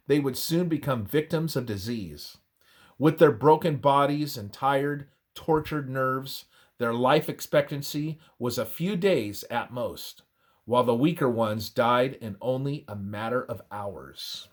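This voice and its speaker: A man reading a scripted historical and religious narration aloud in a measured, deliberate way with emphatic phrasing. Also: on the high side.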